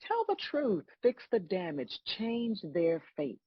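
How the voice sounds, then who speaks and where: A woman playing God as a stern, composed judge, delivering measured, scolding lines in a dramatic script read. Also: medium pitch, very animated, husky, no echo, highs cut off, exaggerated voice. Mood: firm, measured.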